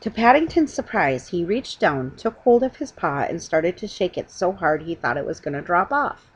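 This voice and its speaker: A woman's voice: lowish voice, animated, normal speed, husky, low-quality recording. A middle-aged woman reading a children's story aloud informally at home. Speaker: storybook reader. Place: living room at home.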